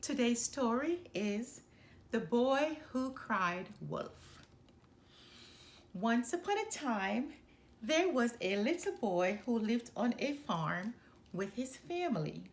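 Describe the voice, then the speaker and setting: A woman reading a children's story aloud as a storyteller, with deliberate, expressive phrasing. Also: on the high side. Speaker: storyteller, channel host.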